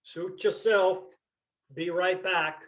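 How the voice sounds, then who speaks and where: Man acting slow, laconic old Vermont farmer. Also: high-pitched. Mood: deadpan. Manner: terse, unhurried, deadpan. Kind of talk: amateur staged play reading.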